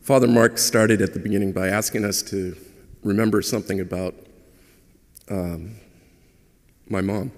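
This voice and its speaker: A man's voice: lowish voice, steady tone, normal speed, pauses often, a little mellow. A middle-aged man whose first language is Hungarian gives a chatty, humorous eulogy at a church lectern microphone. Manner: conversational and humorous, with hesitations. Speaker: son giving a eulogy. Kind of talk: funeral eulogy. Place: large church. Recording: long church reverb.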